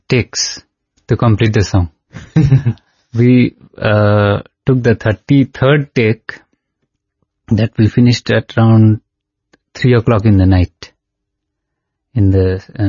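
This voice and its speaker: Man, native Nepali speaker, singer recounting memories, halting and unhurried, dull dry recording. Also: fairly low pitch, warm tone, no room sound. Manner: unhurried storyteller, pausing with "uh" and light laughs. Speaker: devotional singer guest. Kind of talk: reminiscing in conversation.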